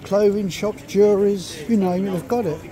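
A man's voice: sits high, steady tone, brisk, dark tone. A man giving rambling, casual commentary as he walks along outdoors as a travel vlogger. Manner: rambling, observational, fragmented remarks. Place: outdoors on a rainy pedestrian shopping street. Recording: outdoor street with passers-by chatter.

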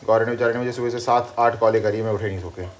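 A man with a fairly low voice vlogging on the road, talking straight to his viewers in a loose, playful way. He drops English words into easy, joking chatter. A faint hiss sits under the recording. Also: steady tone, very fast. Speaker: travel vlogger. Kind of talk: casual vlog chatter to viewers.

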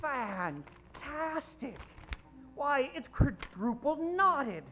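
A man playing a vain, effete fashion boutique tycoon in old-time radio style, flamboyant, fussy and drawn-out. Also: extremely high pitch, sing-song, sharp tone, soft background music, full character voice. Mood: flirtatious. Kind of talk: 1940s radio acting. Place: live stage before standing radio microphone. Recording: dull, lo-fi stage recording.

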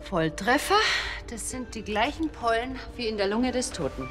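Actress as sarcastic pathologist, higher voice, matter-of-fact crime comedy, echoey room. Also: female voice, expressive, moderate pace, faint music behind, natural voice. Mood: matter-of-fact, needling. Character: sharp-tongued, brisk female forensic pathologist. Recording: roomy, echoing set sound.

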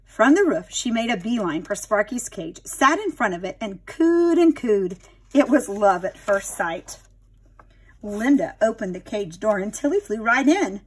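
A woman reading a picture book aloud to young children in an expressive teacher's manner. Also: medium pitch, a little slow, breathy edge. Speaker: storybook reader, teacher.

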